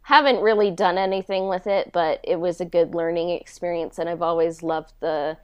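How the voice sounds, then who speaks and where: A woman's voice: lowish voice, fairly even intonation, normal speed, clear, resonant tone, no room sound. A woman speaking in a casual interview, informal and hesitant, with a self-deprecating manner. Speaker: podcast host and audio drama writer being interviewed.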